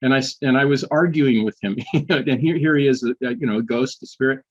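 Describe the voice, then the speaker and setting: An older man, a history professor, telling personal stories in a casual interview, often restarting sentences and filling pauses. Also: mid-range voice, muffled recording. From United States. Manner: halting, many restarts and fillers.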